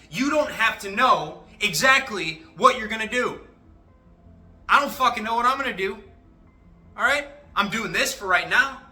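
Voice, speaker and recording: Young male vlogger, high, very bright voice, profane and animated, with faint music behind. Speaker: motivational vlogger. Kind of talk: casual motivational talk. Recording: echoey room, faint music.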